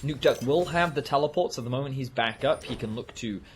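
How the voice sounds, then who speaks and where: A man giving relaxed, analytical live esports commentary with easy banter. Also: medium pitch, a little fast, slight background noise. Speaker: esports color caster. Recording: commentary over loud game music.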